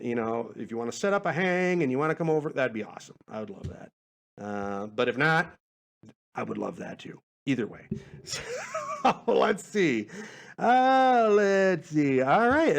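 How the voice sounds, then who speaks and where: A man in his forties hosting a casual podcast, rambling along in a laid-back, self-deprecating way. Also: sits high. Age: 40s. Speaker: podcast host.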